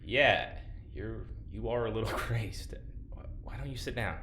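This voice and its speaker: An actor as a gentle, awkward man in an audio drama. He stammers his way toward honesty in a slightly low voice. The sound is echoey with faint noise. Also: unhurried, close to an everyday voice. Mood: awkward, concerned. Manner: hesitant, stammering. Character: awkward, gentle, candid man, reluctant confidant.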